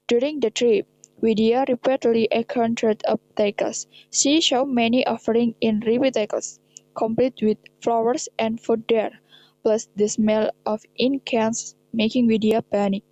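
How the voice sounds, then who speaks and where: A woman's voice: on the high side, dark tone, no echo, highs cut off. A young woman with an Indonesian accent reading scripted horror drama narration in non-native English. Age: young adult.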